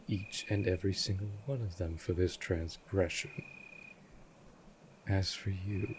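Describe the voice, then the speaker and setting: A man playing a cold, possessive vampire master in an audio drama, speaking in a formal, commanding, measured way. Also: low voice, gravelly, darkish tone, a little hiss or hum. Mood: stern, menacing. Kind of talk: scripted roleplay audio drama.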